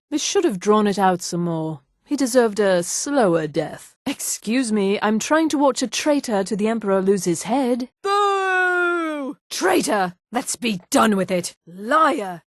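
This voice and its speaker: Fantasy game high elf woman, played by an actress: haughty, poised, articulate, theatrical, mid-pitched, dry studio sound. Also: no room sound, natural voice. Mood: mocking, contemptuous. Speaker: video game voice actor. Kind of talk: acted video game dialogue.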